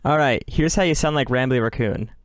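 A man narrating a software tutorial in a casual, instructional way as its host. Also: mid-range voice, brisk.

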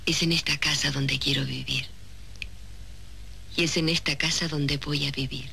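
An actress playing an ambitious young woman in a 1970s soap opera, speaking in a deliberate, dramatic way. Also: sits low, fairly even intonation, creaky, bright, forceful tone, heavily acted. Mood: cold, calculating. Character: ambitious, cold young woman from a humble neighbourhood. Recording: noisy old 1970s TV soundtrack.

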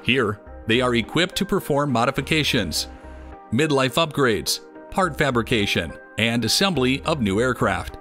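A man giving scripted documentary narration in an even, measured delivery. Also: medium pitch. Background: music.

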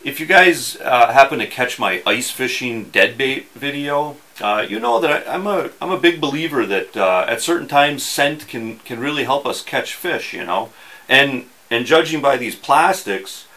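A middle-aged man talking casually to the camera as host of an outdoors channel, with a folksy, wry, halting delivery. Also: mid-range voice, lively intonation, pushed and bright. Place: small indoor workshop.

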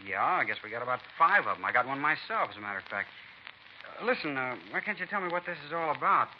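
An actor playing an earnest, defensive young American hot rod club member in a 1950s radio drama, talking slangy and insistent. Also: male voice, medium pitch, sing-song, fast talker, close to an everyday voice. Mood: wary. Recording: dull 1950s radio recording, faint noise.

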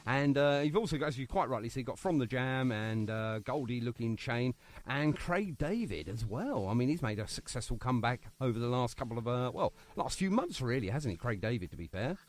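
A man hosting a casual radio interview, chatty and quick with jokey asides. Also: medium pitch, lively intonation. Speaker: radio show host.

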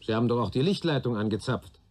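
An actor playing a shrewd, patient police investigator in a German radio play, probing and deliberate, with a dry sardonic authority. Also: male voice, mid-range voice, animated, darkish tone, lightly acted. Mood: stern, warning. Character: shrewd, patient male police detective questioning a young man.

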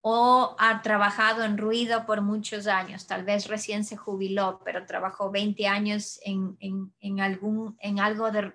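A woman in her thirties, an audiologist, answering audience questions in a webinar over a video call, calm and explanatory with frequent restarts. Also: medium pitch, a little slow, hardly any pauses. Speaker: audiologist presenter. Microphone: webcam video call.

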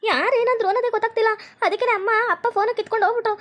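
A woman's voice: very high voice, racing. A woman playing an anxious young village daughter. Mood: anxious pleading. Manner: pleading, repeats herself anxiously. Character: young village woman pleading with her father. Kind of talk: homemade family drama skit.

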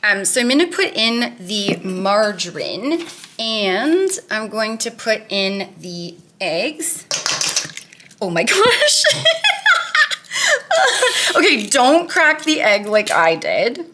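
Bright, chatty woman cooking at home. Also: mid-range voice, sing-song, takes it slowly, slight background noise. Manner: chatty and upbeat, lots of "like". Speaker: weight-loss cooking vlogger. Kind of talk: casual recipe walkthrough. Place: home kitchen, indoors.

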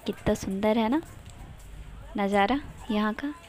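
A woman's voice: mid-range voice, measured pace, a little mellow. A young woman from India narrating a casual travel vlog, cheerful and chatty, pointing things out to her viewers. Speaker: vlogger.

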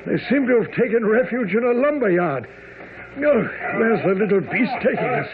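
An actor playing an earnest, admiring, slightly flustered detective's companion in a radio drama. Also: male voice, very high voice, animated, normal speed, a loud crowd. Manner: earnest, hesitant. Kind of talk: radio drama acting and narration. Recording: tinny band-limited radio, very crowded background.

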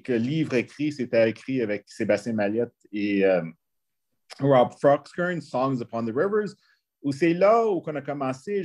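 A man's voice: mid-range voice, darkish tone. A man from northern Alberta with a Franco-Albertan French accent, an anthropology professor speaking on a video call panel, rambling with many restarts as he tells stories. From northern Alberta, Canada.

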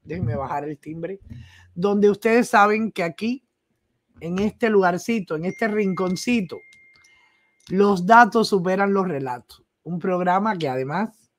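A woman's voice: on the low side, unhurried. A middle-aged woman with a Cuban accent hosting a live news show from a small home studio, chatting casually and affectionately while greeting viewers by name. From Cuba. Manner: chatty, affectionate, reads viewer comments aloud. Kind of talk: casual live-stream chat. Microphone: desk microphone.

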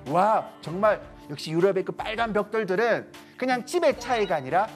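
A man in his thirties giving a lively lecture to a studio audience, acting out little quoted voices as he goes. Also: high-pitched, normal speed, soft background music. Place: large TV studio stage with audience.

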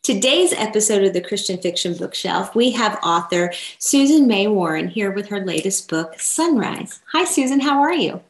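A woman's voice: medium pitch, expressive, normal speed, few pauses. A woman in her forties interviewing a guest as a podcast host, recorded over a video call. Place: indoor room with framed records on the wall, on a video call. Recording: roomy, echoing video call.

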